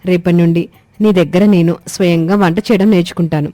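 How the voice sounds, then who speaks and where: A woman's voice: lowish voice, fairly even intonation, fast talker, warm tone, dry recording, natural voice. A woman voicing a polite, gadget-proud young city daughter-in-law in a cartoon story, mixing English words into her Telugu. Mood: apologetic.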